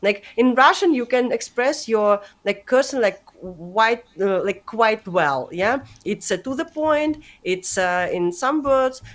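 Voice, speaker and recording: Higher-pitched woman chatting with many fillers. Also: animated, unhurried, hardly any pauses. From Ukraine. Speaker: guest. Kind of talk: casual chat.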